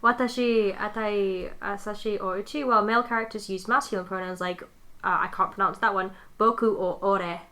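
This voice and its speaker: A woman's voice: medium pitch, steady tone, fairly quick, bright, forceful tone. A woman co-hosting a casual podcast, speaking informally and a little sweary. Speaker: podcast co-host. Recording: clear background noise with clicks.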